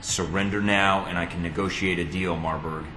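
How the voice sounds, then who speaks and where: A man's voice: low voice, fairly even intonation, normal speed, over music, natural voice, low-quality recording. An actor playing a tough, wry young male secret agent in a video game, with a dry, terse delivery. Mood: commanding. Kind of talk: dramatic video game dialogue.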